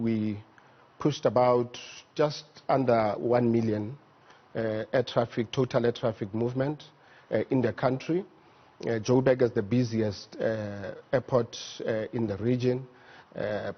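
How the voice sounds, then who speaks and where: A man with a South African accent explaining things methodically and haltingly as an expert guest in a studio interview. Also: mid-range voice, fairly even intonation, highs cut off. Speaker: air traffic management executive, interview guest. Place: TV news studio.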